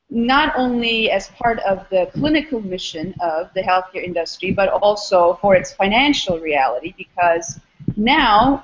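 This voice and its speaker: A middle-aged woman from Istanbul, Turkey, giving explanatory interview answers as a guest, in measured, academic phrasing. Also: medium pitch, muffled recording. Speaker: interview guest, startup founder and former academic.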